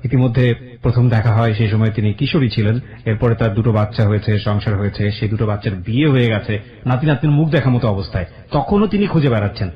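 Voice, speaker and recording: A man hosts a radio interview. He talks at a brisk clip and sometimes stops to reflect on his guest's story. The sound is dull and lo-fi, like an old broadcast. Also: on the low side. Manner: quick, reflective commentator. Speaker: radio host.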